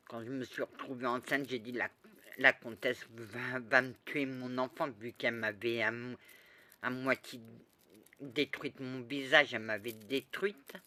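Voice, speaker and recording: A woman telling a rambling, lively story in a casual spoken way, full of restarts and asides. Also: extremely low pitch. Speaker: storyteller recounting past lives.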